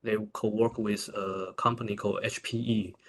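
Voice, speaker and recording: A man with a Taiwanese accent answering questions as a researcher at a conference, in halting, informal phrasing with repeated words. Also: fairly low pitch, level tone, slight background noise. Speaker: researcher presenting.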